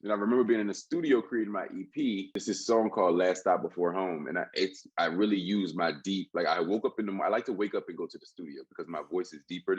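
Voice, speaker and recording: A man with a deep, husky voice, a rapper guest telling a rambling story with many restarts in a casual interview. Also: quick pace, hardly any pauses, dry recording. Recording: dull dry lo-fi sound.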